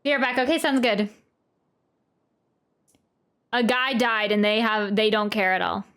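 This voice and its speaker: A woman's voice: fairly high pitch, fairly even intonation, measured pace, a bit bright. A woman doing casual live commentary as she plays a game, exclaiming and repeating short phrases as she reacts. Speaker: game streamer reacting to a playthrough. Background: game music.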